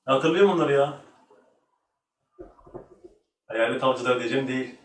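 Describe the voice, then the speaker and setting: A man's voice: mid-range voice, quick pace. A man, bantering casually in teasing slang as a quiz show contestant. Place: small curtained studio room. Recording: echoey room, distant mic, faint noise.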